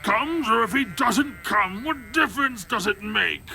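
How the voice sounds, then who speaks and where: A man's voice: sits high, expressive, unhurried, vocal fry, sharp tone, soft background music, heavily acted. A man voicing a languid, indifferent, stuffy sheep with a pompous, bored drawl in a family audio drama. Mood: bored, dismissive.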